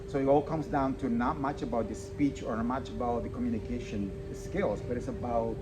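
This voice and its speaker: A man's voice: medium pitch, fairly even intonation, a little fast, loud music behind the voice. A man from El Salvador with a Spanish accent, hosting a casual interview with eager, filler-filled speech. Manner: enthusiastic, repeats phrases, many fillers. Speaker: podcast host.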